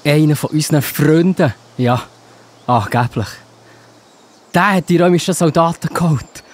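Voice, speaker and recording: An actor playing a hot-headed, loyal disciple in a children's Bible audio drama, agitated and exclamatory, with a husky voice. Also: male voice, a little high, moderate pace, natural voice. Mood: alarmed, urgent. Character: hot-headed loyal disciple Peter, alarmed and indignant man.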